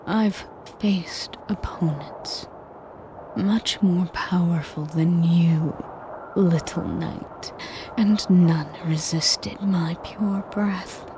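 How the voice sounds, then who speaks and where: Female performer as sadistic, possessive dragoness for audio roleplay: lowish, breathy half-whisper, extremely unhurried, imperious and condescending. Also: background noise. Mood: cold, boastful menace. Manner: pompous, condescending. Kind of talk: scripted audio roleplay monologue.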